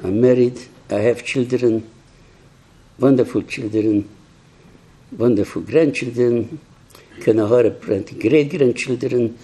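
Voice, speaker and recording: An elderly man recounts his memories slowly, in halting, reflective phrases with frequent restarts. His voice is very dark and mellow, with a husky grain. He is hard of hearing. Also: mid-range voice. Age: older, 80s or 90s. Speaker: survivor giving testimony to young people. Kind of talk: personal testimony talk.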